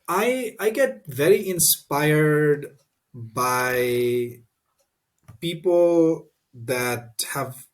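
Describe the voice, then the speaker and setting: Thoughtful man with halting speech. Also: mid-range voice. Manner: thoughtful, halting with many fillers and restarts. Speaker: guest. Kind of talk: interview answers.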